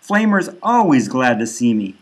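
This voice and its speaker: A man reading his own dark satirical novel as a first-person audiobook narration. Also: mid-range voice, big pitch swings, moderate pace.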